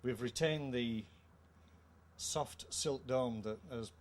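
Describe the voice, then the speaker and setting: A middle-aged man with a British accent gives a measured, formal technical explanation of a product. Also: medium pitch. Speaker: company co-founder presenting a product. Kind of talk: technical product presentation in an interview. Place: indoor trade show room. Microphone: handheld microphone. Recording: clear background noise, show-room ambience.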